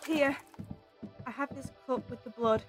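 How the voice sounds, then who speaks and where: A woman's voice: sits high, fairly even intonation, a little slow, faint music behind. A woman playing a spirited, sceptical adventuring companion with a firm manner in an audio drama.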